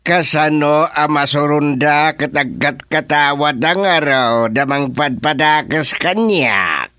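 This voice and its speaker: A man's voice: on the high side, slow, pushed and bright, no echo, clean studio sound. A man acting an aggrieved village husband in a radio comedy drama, complaining defensively with an Ilocano accent.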